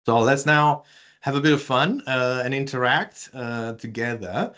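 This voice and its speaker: A man's voice: mid-range voice, measured pace, a bit bright. Italian-accented man giving an online workshop. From Italy. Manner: chatty, many fillers and restarts, playful with audience. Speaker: research communication presenter. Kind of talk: live online workshop presentation.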